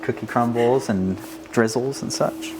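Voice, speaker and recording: An American man walks through products in an informal, methodical way. His pitch is average, and clear ambient noise sits behind him. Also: a little slow, poor audio. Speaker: bakery worker demonstrating products.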